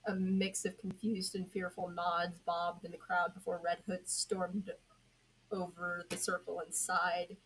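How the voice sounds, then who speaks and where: A woman narrating scripted fiction in an amateur style, a bit halting. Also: lowish voice, completely flat delivery, a bit bright, slight background noise, poor audio. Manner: halting, prompts co-reader with corrections. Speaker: podfic narrator.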